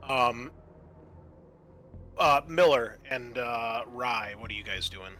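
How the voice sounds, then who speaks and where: A man acting as game master, haltingly improvising narration and prompting the players with questions. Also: medium pitch, bright, forceful tone, slight background noise.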